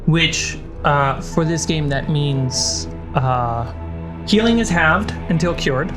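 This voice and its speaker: Higher-voiced man running a tabletop game. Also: slow pace. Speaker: game master. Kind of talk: narrating a tabletop fantasy game. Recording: loud cinematic music underneath.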